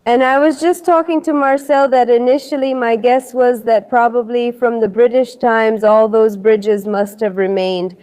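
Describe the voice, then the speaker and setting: A woman's voice: on the high side, fairly even intonation, normal speed, few pauses, a little mellow, clean tone. A woman chatting as a travel vlogger, explaining things with care, her nose stuffy and her throat sore, recorded on a clip-on mic in an airport. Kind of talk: casual travel vlog chat. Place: busy airport terminal. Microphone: wireless clip-on mic with noise cancelling.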